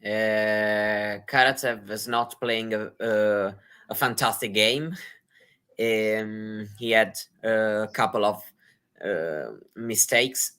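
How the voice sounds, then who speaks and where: Slow, halting man analysing tennis. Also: fairly low pitch, sharp tone, clean tone. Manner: hesitant, many fillers and restarts. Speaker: tennis co-commentator.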